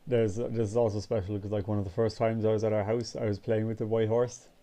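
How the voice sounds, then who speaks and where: A man's voice: lowish voice, fast. Congested young guy rambling. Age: 20s. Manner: rambling, relaxed, casual swearing. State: congested, sniffly. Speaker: vlogger. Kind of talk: casual chat.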